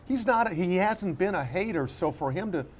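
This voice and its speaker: A man co-hosting a casual sports talk show, analysing in a halting way, searching for words and repeating himself. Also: sits high, lively intonation, slight background noise.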